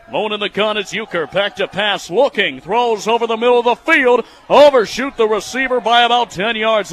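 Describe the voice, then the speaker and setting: A young American man calls football live on the radio. His voice is high and bright, with an excitable, forceful delivery that pushes up for the action. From United States, Michigan. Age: 20s. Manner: excitable, raising his voice on plays. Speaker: radio play-by-play announcer.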